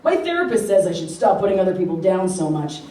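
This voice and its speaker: A woman playing a brisk, blunt army general in a comedic stage radio play. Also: on the low side, big pitch swings. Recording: roomy distant hall, faint noise.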